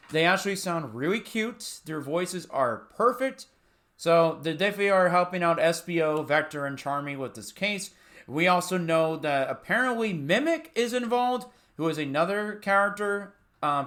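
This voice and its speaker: A man's voice: a little high, bright, forceful tone. A man hosting a reaction video with casual fan commentary.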